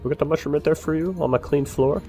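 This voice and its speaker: A man improvising in character during a role-play game, excitable and swearing freely. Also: a little high, fast talker, faint music behind. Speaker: role-play player, bar owner character.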